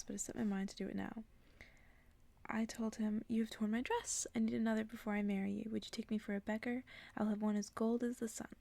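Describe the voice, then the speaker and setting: Woman, ASMR story reader, soft whisper, intimate storytelling, gravelly fry, dark relaxed tone. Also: on the high side.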